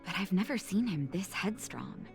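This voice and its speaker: A woman voicing a gentle, composed mediator in a video game, soothing and measured, chiding softly out of care. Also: mid-range voice, big pitch swings, creaky, faint music behind. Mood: quietly concerned.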